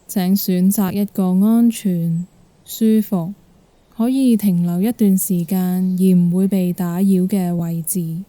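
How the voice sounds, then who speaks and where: A woman with a Hong Kong Cantonese accent guiding a meditation in a gentle, calm manner. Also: lowish voice, no echo. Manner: gentle, calm, unhurried instruction. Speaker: guided meditation instructor. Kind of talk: guided meditation narration.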